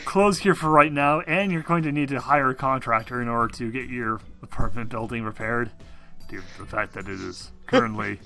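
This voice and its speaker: A man's voice: medium pitch, a little fast, gravelly, pushed and bright, soft background music. A man casually narrating a tabletop role-playing game as game master, swearing now and then.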